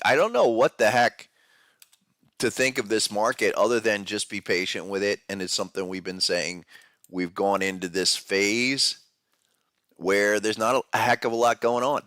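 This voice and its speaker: A man hosting a live podcast about trading charts, talking in a casual, loose way with a head cold that deepens his voice. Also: a bit bright, no room sound, clean studio sound. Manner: casual, loose, with fillers and restarts. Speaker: podcast host. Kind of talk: live-stream market analysis chat.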